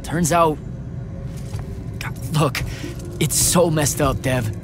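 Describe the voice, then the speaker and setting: A young actor playing a wisecracking young battlemage in a video game, stammering and quick to joke. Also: male voice, on the high side, steady tone, over music. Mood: defensive. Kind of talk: video game cutscene acting. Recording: game audio with orchestral score.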